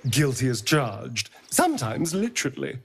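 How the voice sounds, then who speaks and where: A man's voice: medium pitch, huge pitch swings, slow pace, a bit bright, breathy edge, exaggerated voice. A man voicing a flamboyant, sardonic, flirtatious rogue in a video game, with a theatrical, teasing delivery. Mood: amused, arch. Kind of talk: video game character acting.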